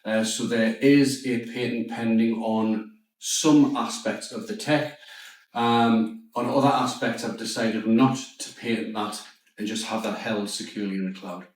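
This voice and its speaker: A middle-aged man with a Geordie accent delivers a startup pitch with firm, emphatic confidence. His voice sounds distant, as if picked up from far across the room. A heavy echo from a large hall surrounds it. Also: fairly even intonation, unhurried. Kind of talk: pitch and Q&A on stage. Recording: echoey hall, distant mic.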